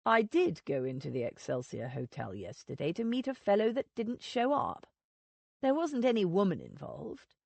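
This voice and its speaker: A woman voicing a proud, stiff young gentleman husband who speaks firmly and sounds offended. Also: mid-range voice, very animated, normal speed, vocal fry, no room sound.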